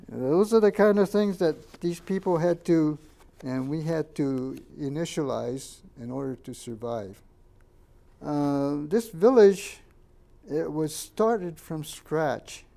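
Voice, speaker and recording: An older man, a Japanese first-language speaker from Canada, recalls his childhood in a halting oral history interview and points out photos. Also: fairly high pitch, gravelly, mellow. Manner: halting, many fillers and restarts, pointing out photos.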